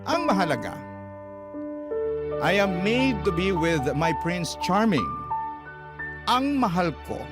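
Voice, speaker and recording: A man's voice: sits high, big pitch swings, slow pace, long pauses. A man with a Filipino accent reading a listener's letter as a dramatic radio narration. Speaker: radio show host and story reader. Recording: loud music under the voice. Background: loud instrumental music.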